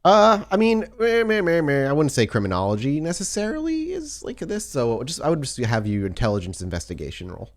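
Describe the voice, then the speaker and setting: A man's voice: a little high, huge pitch swings, fairly quick, few pauses. A man working as game master, improvising narration and talking rules in a tabletop roleplaying game.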